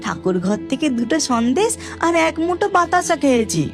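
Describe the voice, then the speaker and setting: A woman's voice: high-pitched, very animated, moderate pace, over music. A woman voicing a poor, earnest young village boy in a cartoon, with a plaintive, pleading manner. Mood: plaintive, defensive.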